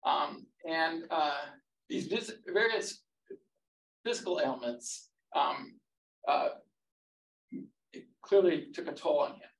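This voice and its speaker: A man answering questions about his book at a roundtable, halting and full of fillers and restarts, in a conference room before an audience. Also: on the high side, lively intonation, vocal fry. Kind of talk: academic discussion. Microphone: far room mic. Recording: echoey room, distant mic, faint noise.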